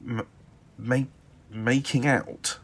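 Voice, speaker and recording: A man's voice: mid-range voice, fairly even intonation, very slow. An actor playing a nervous man out of his own time who stammers and gets easily flustered. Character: nervous young man from the distant past, awkward and flustered.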